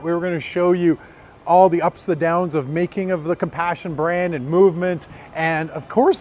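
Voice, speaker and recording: A man hosting a vlog, talking energetically to camera and going hoarse. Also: on the high side. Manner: energetic, motivational preaching. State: losing his voice. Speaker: vlog host. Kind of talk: casual vlog talk to camera.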